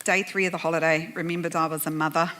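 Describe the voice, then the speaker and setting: A woman's voice: low-pitched, level tone, fast, bright, forceful tone. Chatty New Zealand woman moderating. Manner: chatty and self-deprecating, laughing often. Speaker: panel moderator. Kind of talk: humorous anecdotal presentation to a conference audience. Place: conference plenary room.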